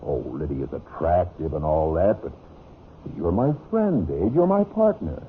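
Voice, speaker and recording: An old, dull radio recording carries a deep-voiced scheming husband. His delivery swings widely in pitch, smooth and falsely friendly, with sly, deliberate emphasis straight out of fifties radio drama. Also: big pitch swings, a little hiss or hum. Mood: falsely hearty. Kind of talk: 1950s radio drama acting.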